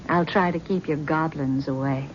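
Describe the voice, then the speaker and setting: An actress playing a wry, teasing, sharp-tongued wife in a 1970s radio drama. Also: female voice, sits low, fairly even intonation, unhurried. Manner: wry, teasing, soft-spoken. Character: weary, sharp-tongued wife, middle-aged. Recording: dull lo-fi old radio broadcast with faint hiss.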